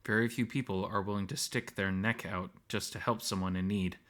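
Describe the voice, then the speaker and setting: Bright-voiced man narrating a story. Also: lowish voice, fairly even intonation, a little fast. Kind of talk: scripted first-person story narration.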